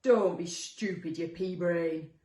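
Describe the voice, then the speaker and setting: A scornful storybook monster put on by a woman speaks. Words are loud, slow and heavily stressed. The recording has a roomy echo. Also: lowish voice. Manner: slow, emphatic, blunt. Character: second monster, male, gruff, scornful, insulting. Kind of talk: children's storybook character voice. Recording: roomy, echoey sound.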